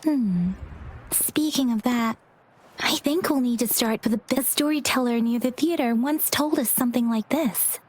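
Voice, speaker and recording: A woman plays a reflective, courteous young female game companion. Her voice sits fairly high with a breathy husk, and her delivery is measured and polite. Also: a little mellow, lightly acted. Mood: thoughtful. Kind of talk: game dialogue.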